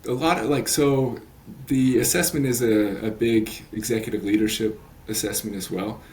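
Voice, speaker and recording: A man's voice: lowish voice, steady tone, normal speed, a little mellow, a little hiss or hum. A man in his late thirties, a coach talking as a podcast guest, earnest and rambling with many fillers and restarts. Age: 30s. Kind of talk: podcast interview.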